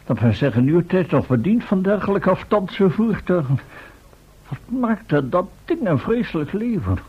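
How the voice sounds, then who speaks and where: An actor playing a querulous, ironic gentleman with a husky voice and formal, theatrical manner in a Dutch radio drama. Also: sits high, very animated, moderate pace, exaggerated voice. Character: sardonic, querulous gentleman leading stranded travellers. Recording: muffled old radio play recording.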